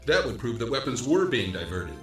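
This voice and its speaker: A man playing a diligent special-ops investigator, explaining findings in a measured briefing tone in a video game. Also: mid-range voice, big pitch swings, roomy sound, over music, close to an everyday voice. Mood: matter-of-fact. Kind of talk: English dub acting in a video game cutscene.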